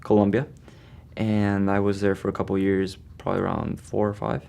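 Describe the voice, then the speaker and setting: A young man who grew up in Venezuela, talking as a student in a documentary interview in a relaxed, conversational way. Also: on the low side, moderate pace, creaky. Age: young adult. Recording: faint music underneath.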